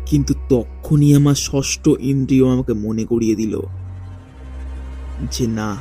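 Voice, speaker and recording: A man narrating a first-person ghost story in a dramatic, suspenseful way with deliberate pauses. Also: mid-range voice, mellow, slightly rough. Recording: faint music behind the voice. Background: faint background music.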